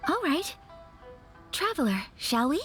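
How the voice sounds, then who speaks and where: A gentle, reserved young noblewoman from an anime game. Her voice sits high and she speaks with formal, modest courtesy and soft hesitation. Light music runs underneath. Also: big pitch swings, unhurried. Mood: sincere, shy.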